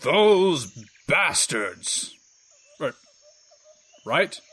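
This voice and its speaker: A man playing a slow-witted, pompous, trigger-happy soldier with a deliberate manner in a comedic audio drama. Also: on the high side, big pitch swings, bright, forceful tone, slightly rough, full character voice. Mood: puzzled.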